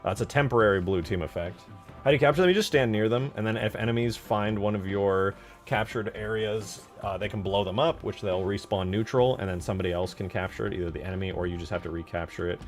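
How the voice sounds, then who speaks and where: Low-voiced, laid-back man streaming. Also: quick pace, faint music behind. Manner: laid-back, muttering asides to himself, casual swearing. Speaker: game modder streaming his work. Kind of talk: casual live stream commentary.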